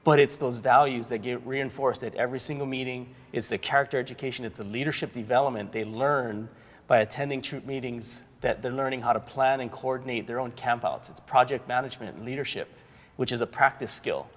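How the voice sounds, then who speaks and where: A middle-aged man from Hawaii with an American accent, explaining earnestly and clearly as an interview guest, in an orderly, articulate way. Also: medium pitch, fairly quick, fairly bright tone. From Hawaii, United States. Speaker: guest, youth scouting organization professional. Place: reverberant plaza show set. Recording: long reverberant hall sound.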